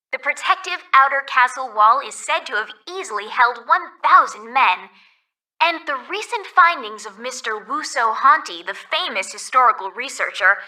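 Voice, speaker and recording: Female resort ferry guide, high voice, very bright, courteous, upbeat, scripted video game announcements, slightly distant. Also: full character voice. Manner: polite, clearly scripted announcer delivery.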